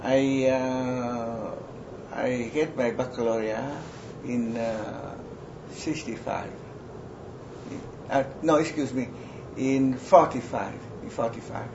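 An elderly man with a Vietnamese accent, whose first language is French, recalls his life in an oral history interview, speaking haltingly with many restarts and fillers. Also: medium pitch. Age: older. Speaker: interviewee, retired army surgeon. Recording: lo-fi noisy recording.

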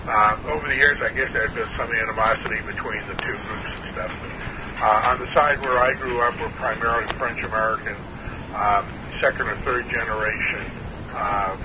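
Sixties man, interviewee, halting and throaty; muffled, hissy phone recording. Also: fairly low pitch, steady tone. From New Hampshire. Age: 60s. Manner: hesitant, many uh fillers, measured. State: clearing his throat, frog in throat. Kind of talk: oral history interview.

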